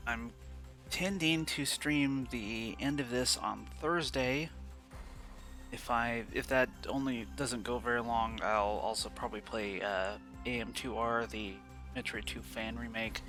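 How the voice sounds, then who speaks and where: A man's voice: medium pitch, steady tone, unhurried, pushed and bright, faint music behind. A congested man hosting a let's play, talking in a loose, rambling way full of fillers. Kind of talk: casual game commentary.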